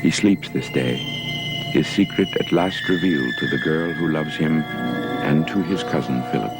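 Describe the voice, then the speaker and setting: An extremely low-voiced, solemn male narrator sets up a gothic television serial. He speaks with ominous, portentous drama over loud music on an old soundtrack. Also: normal speed, low-quality recording. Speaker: narrator of a gothic soap opera.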